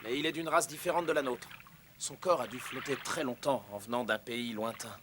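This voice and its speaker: A man voicing an earnest, brave young ship's navigator in a French cartoon dub, declaiming his lines clearly. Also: mid-range voice, fairly quick, background noise, close to an everyday voice. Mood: thoughtful.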